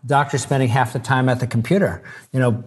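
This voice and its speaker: A man's voice: mid-range voice, fairly even intonation, fast talker, husky. A man who is a doctor, explaining earnestly in conversation and often repeating words as he begins sentences. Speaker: doctor, guest.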